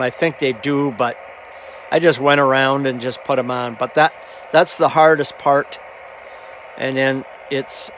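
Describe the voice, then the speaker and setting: A man giving a casual, halting, rambling hands-on demonstration as a hobbyist presenter. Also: mid-range voice. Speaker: hobbyist presenter showing his CB radio. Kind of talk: casual hands-on show-and-tell. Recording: noisy amateur camera audio. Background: clear background noise.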